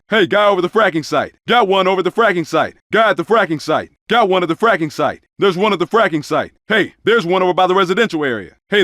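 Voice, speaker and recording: Game undercover cop: alert and clipped, higher-pitched man's voice, terse combat callouts, very dry recording. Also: a bit bright, no echo. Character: gruff, alert male undercover cop in gunfight. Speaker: video game player character. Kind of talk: short tactical callouts.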